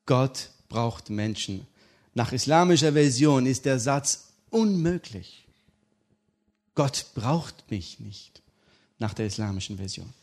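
Joking middle-aged preacher from Turkey. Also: male voice, medium pitch, slow. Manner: humorous, lively storytelling. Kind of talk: interview and sermon.

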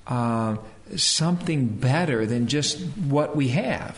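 A man speaks slowly at a live talk as a health speaker fielding questions. He moves haltingly, leaving pauses between short phrases so an interpreter can follow. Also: medium pitch.

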